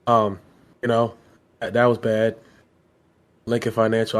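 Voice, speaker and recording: A man from Philadelphia co-hosting a podcast, his voice a little hoarse from being sick, rambling with repeated words and "you know what I'm saying.". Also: lowish voice. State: hoarse from illness. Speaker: podcast co-host. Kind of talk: casual chat.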